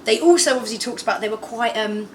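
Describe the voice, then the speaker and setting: Chatty young woman guest, animated. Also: fairly high pitch, fast, a little hiss or hum. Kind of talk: casual chat.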